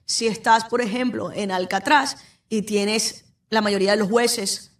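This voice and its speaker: A woman's voice: medium pitch, steady tone, measured pace, a bit bright. Venezuelan woman lawyer explaining from afar. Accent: Venezuelan Spanish. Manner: explanatory, direct, speaks to the listener. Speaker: immigration lawyer, podcast host. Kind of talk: informative solo talk. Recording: voice somewhat far from the mic.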